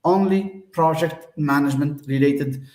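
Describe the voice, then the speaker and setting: A man's voice: a little high, unhurried, a little mellow. Tunisian man explaining certifications, echoey. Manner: hesitant, many fillers and restarts. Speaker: host. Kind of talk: casual explanatory talk.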